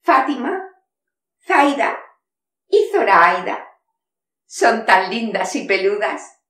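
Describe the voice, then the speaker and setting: A woman's voice: on the high side, sing-song, a bit bright, heavily acted. A woman playing a wistful walled-up castle maiden. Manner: sighing, lyrical, slow declamation. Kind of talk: theatrical monologue in verse. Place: studio with castle backdrop. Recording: echoey room, mic far off.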